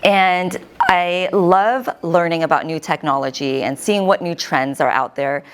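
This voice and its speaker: An American woman in her thirties, a host giving a lively personal talk to an audience and often quoting herself. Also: fairly low pitch, unhurried, clear, resonant tone. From United States. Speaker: host and keynote speaker.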